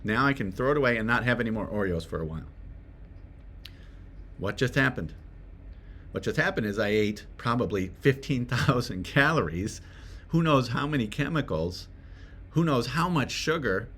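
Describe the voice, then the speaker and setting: A man's voice: mid-range voice, expressive, normal speed, long pauses, fairly bright tone, slightly rough. Man giving a chatty self-help talk. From Swedish family roots. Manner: conversational, asks the viewer rhetorical questions. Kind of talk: direct-to-camera motivational talk.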